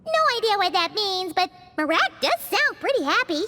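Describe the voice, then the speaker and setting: A woman voicing a tiny, excitable, bubbly childlike fairy companion in an English game dub. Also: very high-pitched, normal speed, heavily acted. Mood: cheerful. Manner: refers to self in third person, bubbly.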